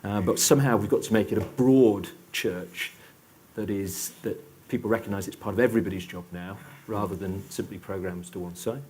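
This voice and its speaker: A man's voice: lowish voice, a little fast, darkish tone, a little hiss or hum. A man speaking as a panelist in a panel discussion, hesitant, with frequent fillers and restarts.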